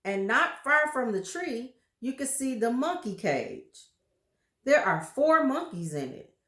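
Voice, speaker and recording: Slow, expressive female read-aloud narrator; roomy, echoing recording. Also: high-pitched. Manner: expressive, showing pictures to listeners. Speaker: storybook reader. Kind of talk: children's book read-aloud.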